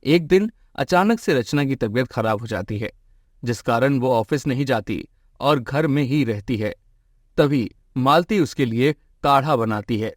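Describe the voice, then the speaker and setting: A man's voice: mid-range voice, expressive, measured pace, no room sound, clean studio sound. A man narrating a scripted moral story as a storyteller.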